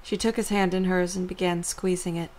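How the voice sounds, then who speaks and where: A woman's voice: on the low side, level tone, fairly quick, darkish tone. A woman reading scripted story narration for an audio drama as the narrator.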